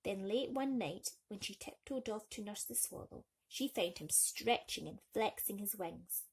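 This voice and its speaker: A woman's voice: medium pitch, animated, unhurried, gravelly, husky. A woman storyteller narrating a bedtime story expressively for children.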